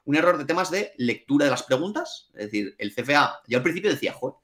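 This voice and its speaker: A man's voice: a little high, big pitch swings, fast, pushed and bright. A man with a Spanish accent from Spain, a finance exam trainer, answering interview questions in a frank, colloquial way with mild swearing. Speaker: CFA trainer and academy director.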